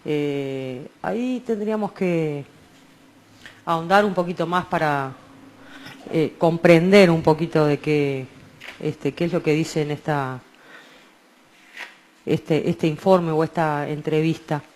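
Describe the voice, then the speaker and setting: Slow-paced woman radio co-host. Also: low-pitched, a little mellow. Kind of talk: casual music commentary.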